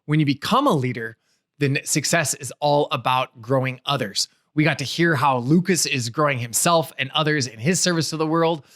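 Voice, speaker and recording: A man's voice: fairly high pitch, fairly bright tone, no echo, clean studio sound. A man hosting a podcast, wrapping up an interview and giving an enthusiastic, motivational outro that repeats phrases for emphasis. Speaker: podcast host.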